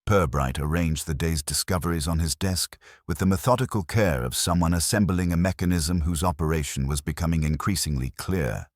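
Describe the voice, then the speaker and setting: A man reading scripted mystery fiction in a measured, formal storytelling manner. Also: low voice, no echo, clean studio sound. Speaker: audiobook narrator. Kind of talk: scripted literary mystery narration.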